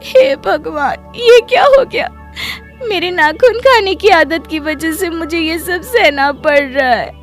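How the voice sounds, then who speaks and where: Lady doing super high voiced young cartoon bahu, meek, sorry, kinda whiny. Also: slow pace, faint music behind. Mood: frightened. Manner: pleading, whiny. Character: timid, apologetic young daughter-in-law. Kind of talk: Hindi moral story cartoon dub.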